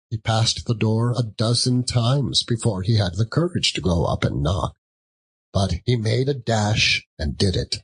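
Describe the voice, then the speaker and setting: A man reads a classic story in a theatrical, dramatic manner. His voice is husky and middle-pitched, with wide swings in intonation. He keeps a measured, slightly slow pace. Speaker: audiobook narrator.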